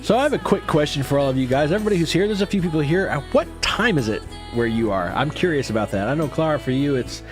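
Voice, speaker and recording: A man's voice: fairly high pitch, animated, fast, few pauses, soft background music. A man hosting a casual reaction livestream, chatting to viewers while he watches a clip.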